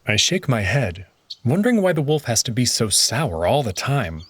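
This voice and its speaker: A man narrating a visual novel in first person with an expressive, conversational delivery. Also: medium pitch, moderate pace, breathy edge, no echo.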